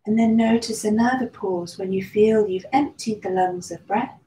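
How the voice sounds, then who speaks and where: Woman guiding a breathing meditation, soft mellow voice, calm and slow, echoey room. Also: mid-range voice. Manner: slow, soothing guidance with long pauses. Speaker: meditation and yoga teacher. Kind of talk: guided meditation. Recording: roomy echo with faint background noise.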